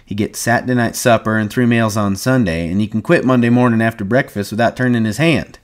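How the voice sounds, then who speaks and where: An excitable, chatty ranch hand in an audiobook, as a man acts him. His talk is brash and folksy. The voice is lifted well above the narration and comes out loud. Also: fairly low pitch, a little fast, voice pushed up, lightly acted. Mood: knowing, teasing.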